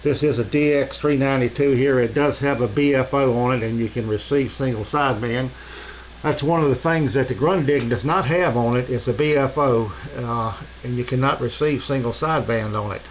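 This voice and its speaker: A middle-aged man casually demonstrating gear as a hobbyist reviewer, unscripted and halting, often hesitating and clearing his throat. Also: mid-range voice. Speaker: amateur radio hobbyist reviewer. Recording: dull lo-fi sound with hiss.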